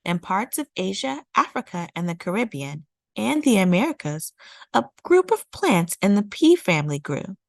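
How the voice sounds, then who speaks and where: A woman narrating a children's nonfiction picture book as a storytime reader, with measured, deliberate phrasing. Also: medium pitch, very animated, vocal fry, a little mellow, no room sound, clean studio sound. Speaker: storytime read-aloud host.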